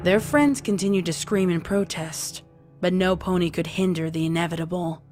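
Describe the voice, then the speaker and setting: A woman's voice: lowish voice, moderate pace, darkish tone, faint music behind. A woman reading a scripted story as a dramatic narrator.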